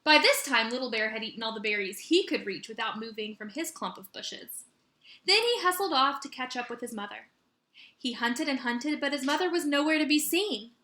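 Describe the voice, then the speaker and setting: A woman teacher reading a children's story aloud in an expressive, animated way with dramatic emphasis. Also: high voice, fairly quick. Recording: roomy echo.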